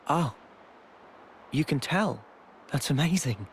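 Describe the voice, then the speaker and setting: An earnest young swordsman from a game. His voice is on the high side for a man, mild and a little hesitant. Clear ambient noise runs behind it. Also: expressive, takes it slowly. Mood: shy, gentle. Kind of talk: video game cutscene acting.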